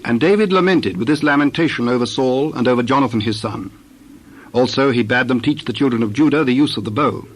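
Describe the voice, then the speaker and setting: A man's voice: medium pitch, a little fast. A man reading scripted Bible narration on an old cassette recording.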